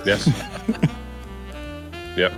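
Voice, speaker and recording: A man's voice: very slow, over music. A man co-hosting a podcast, telling a story in casual chat, laughing and acting out the quotes. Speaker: podcast co-host.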